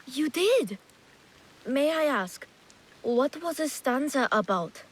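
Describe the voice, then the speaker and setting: A polite young nobleman's son speaks with a bright, high voice. Eager and earnest in every phrase. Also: animated, takes it slowly, a little hiss or hum. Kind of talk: video game cutscene acting.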